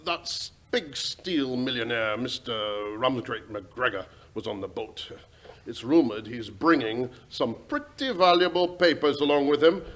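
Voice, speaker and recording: A man playing an anxious, folksy station watchman in a radio drama, with a gravelly voice and colloquial speech. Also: medium pitch, animated, unhurried, fairly bright tone. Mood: anxious, chatty. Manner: colloquial, worried, hesitant. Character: Mister Hogarth, anxious, folksy railway-station watchman, male. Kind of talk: old-time radio drama acting.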